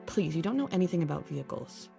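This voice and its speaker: A woman's voice: sits low, big pitch swings, quick pace, vocal fry, mellow, full character voice. A woman playing a grudging, exasperated young daughter and mechanic in an audio drama, with a sarcastic, grumbling manner. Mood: frustrated. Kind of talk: audio drama acting and narration. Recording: music under the voice.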